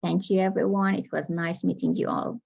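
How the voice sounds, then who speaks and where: A woman's voice: on the low side, steady tone, fairly quick, vocal fry, darkish tone, husky, lo-fi sound. A woman presenter closing her academic conference talk, polite and hesitant with many fillers.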